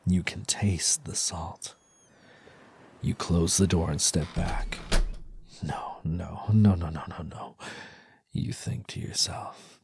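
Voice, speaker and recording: A man narrating second-person ASMR fiction in a breathy, husky, suspenseful hush that often drops to a near whisper, close to the microphone. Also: deep voice. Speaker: audio storyteller, ASMR performer. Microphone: close dry microphone.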